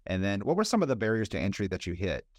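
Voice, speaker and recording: A man with a deep voice hosts an informal interview. He speaks in a casual, conversational way, putting questions and comments to a guest. The sound is dry, free of room echo. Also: big pitch swings, racing. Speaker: podcast host, interviewer.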